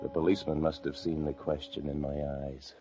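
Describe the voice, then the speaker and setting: An actor playing a paranoid fugitive husband, narrating an old-time radio thriller with intense dread. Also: male voice, very deep voice, little pitch movement, fairly quick. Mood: grim. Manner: intense, breathless dread, swinging from brooding to frantic shouts. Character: desperate, paranoid fugitive husband confined in a locked room. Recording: dull old radio recording with music.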